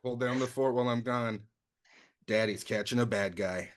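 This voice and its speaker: An actor playing a casual, foul-mouthed detective dad, doting and blunt, in a comedic script read. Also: male voice, mid-range voice, normal speed, lightly acted. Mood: urgent, blunt. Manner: casual, profane, comic.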